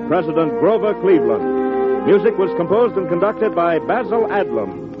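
Man reading formal old radio credits, lively highish voice, loud music behind, dull 1940s sound. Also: moderate pace. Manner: formal, polished. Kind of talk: scripted 1940s radio announcing.

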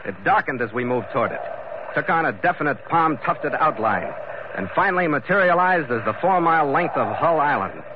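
An actor playing a steady, dry-humored sea captain who also narrates the story in a calm, clipped manner, as in 1950s radio drama. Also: male voice, a little high, a little fast, noisy background, lightly acted. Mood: narrating. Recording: dull old radio broadcast with music.

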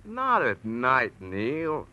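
A breezy, cocky young fellow in a classic radio play, a little tipsy. He teases and insists in a sharp, hugely animated voice. The old recording is slightly muffled. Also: mid-range voice, extremely slow, full character voice. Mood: breezy, insistent. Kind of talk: old-time radio drama acting.

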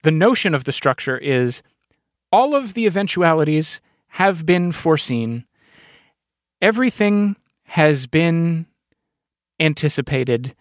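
A male podcast interviewer with a slightly high voice, recorded very dry. He speaks thoughtfully, setting out his ideas in deliberate phrases with pauses between them. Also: expressive, no room sound.

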